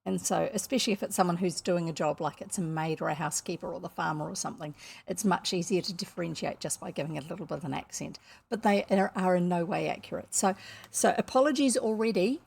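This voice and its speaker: A woman's voice: fairly low pitch, fast talker, gravelly, a little mellow, slightly rough. A New Zealand woman streamer chatting casually to her viewers, rambling along with lots of fillers. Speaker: storytelling streamer.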